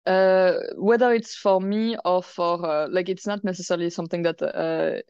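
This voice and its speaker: A woman's voice: on the low side, steady tone, moderate pace, a little mellow, clear, resonant tone, no room sound. A young woman from France, a guest chatting casually in an interview, speaking hesitantly with many fillers. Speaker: guest, chess boxer and painter. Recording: dry, dull lo-fi sound.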